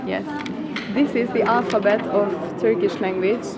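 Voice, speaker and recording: A woman's voice: medium pitch, fairly even intonation, normal speed, over a noisy crowd. A woman interviewing a guest in English, encouraging him with short agreeing sounds.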